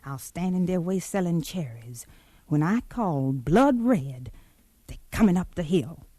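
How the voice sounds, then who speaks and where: An actress playing a brave, devoted cherry seller who keeps lookout for rebels, solemn, in a 1950s radio drama. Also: female voice, fairly low pitch, expressive, measured pace, dark tone, dry recording. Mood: resolute, tender.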